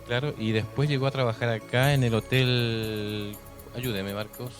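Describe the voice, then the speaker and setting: A man's voice: medium pitch, steady tone, normal speed. A man with a Chilean accent hosting a conversational radio interview. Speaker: radio host. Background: music.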